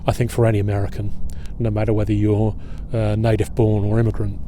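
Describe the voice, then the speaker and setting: A middle-aged man leading an outdoor history tour at a waterfront fort. He talks in a relaxed, halting way with many filler pauses. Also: on the low side, background noise. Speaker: historian and battlefield guide.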